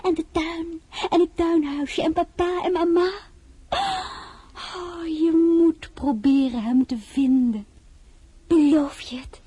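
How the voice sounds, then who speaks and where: An actress playing an impulsive, homesick young crown princess in a radio drama, with a husky, breathy voice. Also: female voice, very high voice, takes it slowly, mellow, no echo. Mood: eager, pleading. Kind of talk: radio drama acting with first-person narration.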